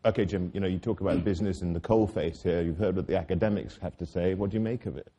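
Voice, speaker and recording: A man moderating a panel discussion, briskly steering topics and putting questions to the panelists. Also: deep voice, mellow, breathy edge, lo-fi sound.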